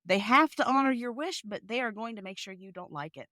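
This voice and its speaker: Lively-pitched woman in casual discussion. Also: brisk, no room sound, studio quality. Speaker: podcast panelist.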